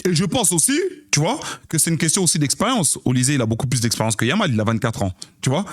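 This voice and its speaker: A man's voice: a little high, sing-song, fast talker. Insistent young man arguing football. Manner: insistent, repeats phrases to cut in; street slang, calls people brother. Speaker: streamer hosting a football debrief. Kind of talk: heated casual debate on a live stream.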